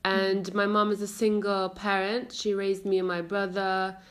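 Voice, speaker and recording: A woman guest chatting casually in a relaxed, easygoing way. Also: mid-range voice, a little slow. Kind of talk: casual chat.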